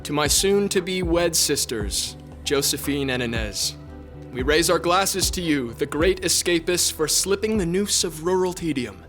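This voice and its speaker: An actor playing a cheeky, grandiose young aristocrat in an audio drama, speaking in a wry, declamatory way. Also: male voice, on the high side, animated, a little slow, a bit bright, faint music behind. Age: young adult.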